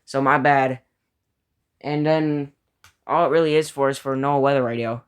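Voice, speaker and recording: Clear-voiced man giving casual commentary. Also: medium pitch, fairly even intonation, normal speed. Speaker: hobbyist video creator. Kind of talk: casual spoken ranking commentary.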